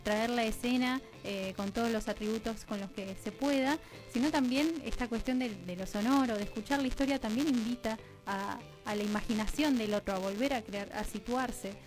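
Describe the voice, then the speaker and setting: A woman's voice: fairly high pitch, moderate pace, few pauses, soft background music, low-quality recording. A woman with an Argentine Rioplatense accent speaking as a radio interview guest, explaining conversationally in long, chained sentences as she thinks aloud. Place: radio studio.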